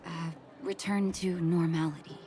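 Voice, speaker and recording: Slow, lowish, composed woman acted in a game. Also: fairly even intonation, close to an everyday voice. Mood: reflective. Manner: measured, reflective. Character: composed, gently teasing adult woman, reflective and kind. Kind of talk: video game voice acting. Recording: game music under the voice.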